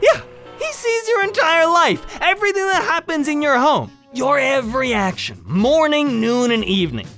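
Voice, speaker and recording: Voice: high voice, very animated, moderate pace, a bit bright. A host narrating a casual comedic explainer in a sarcastic, mock-dramatic way, swearing freely. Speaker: video host. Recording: background music under the voice. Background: music.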